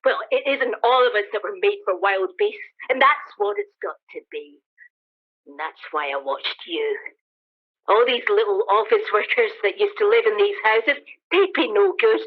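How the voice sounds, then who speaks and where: A woman plays a cynical, defiant soldier who has survived a catastrophe in a radio drama. The talk is colloquial and emphatic, at a middling pitch. The sound is thin and band-limited, like a phone line. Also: animated, moderate pace, lightly acted. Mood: scornful, scheming. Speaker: amateur actor in a radio play. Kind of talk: dramatised radio play dialogue.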